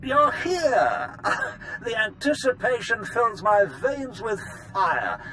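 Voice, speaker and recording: A man's voice: high-pitched, sing-song, slow pace, bright, forceful tone, a little hiss or hum, heavily acted, rough recording. An actor playing a fervent, grandiose aged male Sith in a sci-fi video game, with theatrical, exultant delivery. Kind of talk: scripted video game dialogue.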